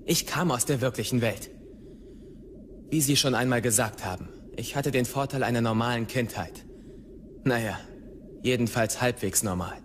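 A man plays a headstrong, frustrated young warrior in a radio drama. His restless voice is of middling pitch, with faint ambient noise behind it. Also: moderate pace, long pauses, lightly acted. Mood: resentful, earnest. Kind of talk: radio play acting.

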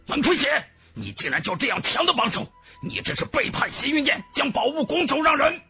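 A man's voice: very high-pitched, very animated, brisk, vocal fry, pushed and bright, soft background music, pitched above the performer's own voice, full character voice. A man voicing a menacing, arrogant male villain in a fantasy audiobook, sneering and accusing.

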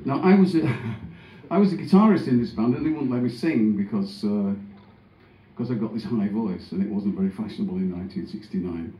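A man's voice: lowish voice, animated, brisk, mellow. An older man, a singer and guitarist, telling a story to the audience between songs on a small club stage. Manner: chatty, storytelling to the crowd. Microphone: stand mic, filmed from the audience. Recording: roomy club sound with band.

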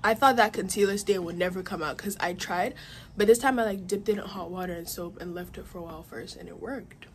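A woman's voice: fairly low pitch, fairly quick, slight background noise. A young woman in her teens chatting casually to her phone camera in her bedroom, rambling with lots of "like" as she talks through her plans. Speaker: vlogger. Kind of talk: casual vlog chat to camera. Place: bedroom at home, indoors. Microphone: phone camera at arm's length.

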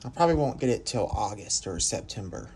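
A young man talking casually off camera while filming with his phone in a home workshop, rambling, excitable and often apologetic. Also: on the low side, brisk, slight background noise, poor audio. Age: young adult. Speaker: vlogger. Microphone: phone camera held by the filming speaker.

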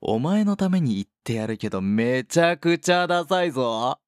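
A man's voice: medium pitch, lively intonation, moderate pace, clean tone, no echo, studio quality, lightly acted. An actor playing a smug, condescending, possessive male schoolteacher in a romantic audio drama. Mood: mocking. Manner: condescending, teasing.

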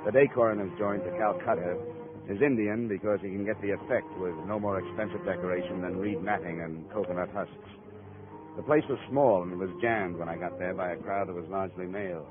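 Muffled old radio broadcast with music behind. A man acting a low-voiced American private eye, terse and deadpan, narrating his case in hard-boiled 1950s style. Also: a little fast, close to an everyday voice. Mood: wry, tense.

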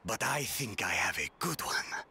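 Theatrical, wildly swooping merchant leader. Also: male voice, on the low side, big pitch swings, moderate pace, sharp tone, husky, exaggerated voice. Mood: theatrical, pleased. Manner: pompous, theatrical, wordy. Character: eccentric merchant leader, male, theatrical and proud. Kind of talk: video game cutscene acting.

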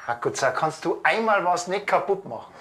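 A man's voice: sits high, animated, a little fast, heavy background noise. An actor playing a grumpy, dry, exasperated small-town policeman with a Bavarian accent and a curt manner in a TV crime comedy.

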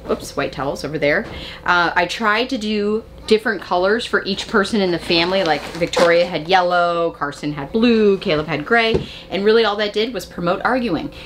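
An American woman with a slightly low voice talks to camera in a chatty, emphatic way. She sounds like a vlogger explaining practical tips in a small indoor space, with clear ambient noise behind her. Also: moderate pace, a bit bright. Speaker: vlogger sharing RV living tips. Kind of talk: casual instructional vlog talk to camera. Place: inside an RV living space and bedroom.

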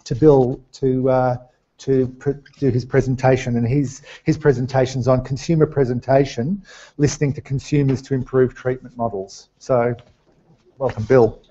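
A middle-aged man speaks haltingly as he presents at a seminar in a small room. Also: medium pitch, little pitch movement, warm tone. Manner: hesitant, halting delivery. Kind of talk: semi-formal live presentation. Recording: dull lo-fi seminar webcast sound.

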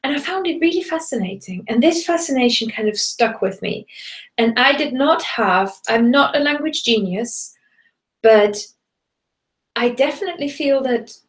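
A woman from Germany, a native German speaker, teaching German in a chatty, enthusiastic live online class and greeting viewers from the chat. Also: a little high. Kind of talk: live bilingual webinar.

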